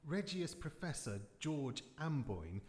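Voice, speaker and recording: A man's voice: a little high, very animated, slow pace, a little mellow. A man playing a pompous, sceptical professor with formal speech in a live radio drama. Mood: indignant. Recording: echoey hall, live stage performance.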